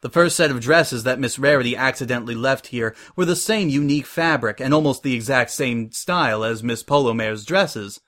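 A man voicing a formal, measured female pony boss, stern but kind, in a story reading. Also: medium pitch, fairly bright tone, lightly acted. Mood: matter-of-fact.

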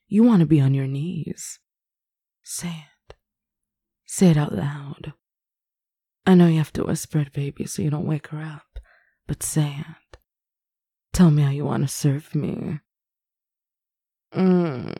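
Woman, low voice, husky, breathy whispers, dry close sound, sultry and commanding. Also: dark tone, no echo. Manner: teasing, commanding, slow and sultry. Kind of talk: intimate adult roleplay audio.

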